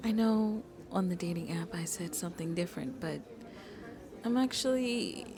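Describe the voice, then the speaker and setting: A woman playing a shy, gentle century-old vampire who seems in her twenties, soft-spoken and hesitant in an ASMR roleplay, often dropping to a near-whisper. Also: fairly low pitch, a crowd in the background, natural voice. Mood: sheepish, apologetic. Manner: hesitant, apologetic, halting, breathy near-whispers.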